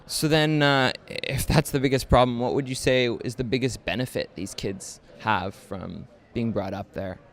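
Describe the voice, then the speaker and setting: A man's voice: medium pitch, normal speed, hardly any pauses. A man interviewing film guests for a campus radio station, asking long questions hesitantly with fillers and restarts.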